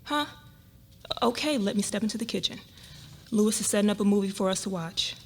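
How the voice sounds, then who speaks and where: Woman acting a husky-voiced, disbelieving wife. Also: mid-range voice, brisk, close to an everyday voice. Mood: brisk, distracted. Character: shocked, hurt wife of a husband revealing an affair. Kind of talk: live dramatic reading of a novel. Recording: live reading with room noise.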